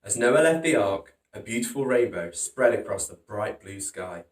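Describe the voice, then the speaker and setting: A man's voice: on the low side, lively intonation, normal speed. A man hosting a storytime, narrating a children's picture book in a dramatic, emphatic way. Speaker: storytime host reading picture books. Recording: roomy echo, distant from microphone.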